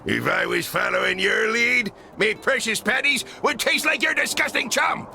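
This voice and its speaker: An actor playing a blustery, money-grubbing older crab boss in a cartoon video game, gravelly, with indignant salty sailor speech. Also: male voice, very high-pitched, expressive, moderate pace, pushed and bright, soft background music, exaggerated voice.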